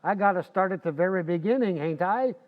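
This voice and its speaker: A boastful, cheerfully clueless husband out of old-time radio comedy, played by a man. He talks in folksy slang with a high-pitched voice. The sound is slightly muffled and dull. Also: animated, brisk. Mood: cocky, cheerful. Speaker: amateur actor in a community playhouse radio recreation.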